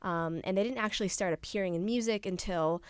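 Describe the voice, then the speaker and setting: A young adult woman presenting an informal software tutorial, explaining things casually step by step. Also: medium pitch, fairly quick, clear, resonant tone, dry recording. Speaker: tutorial presenter, studio intern.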